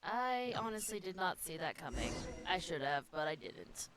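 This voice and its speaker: A woman's voice: lowish voice, big pitch swings, normal speed, crowd noise, rough recording. A woman streamer giving casual live commentary on a video game and reading its text aloud.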